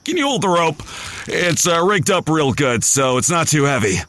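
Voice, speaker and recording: An actor playing a frantic, apologetic piano mover in an audio drama, blurting urgently. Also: male voice, on the high side, very animated, normal speed, a bit bright, a little hiss or hum.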